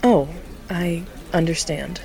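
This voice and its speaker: A woman's voice: fairly low pitch, slow, gravelly, dark tone, faint music behind. An actress playing an eager young woman new to the job, a bit awkward with her colleagues. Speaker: new facilitator Meredith.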